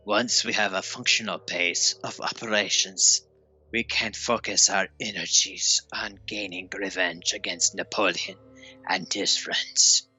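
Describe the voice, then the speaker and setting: A man's voice: deep voice, steady tone, a little slow, pushed and bright, faint music behind, exaggerated voice, rough recording. A man playing a vengeful, menacing villain who plots against his enemies in an audio drama.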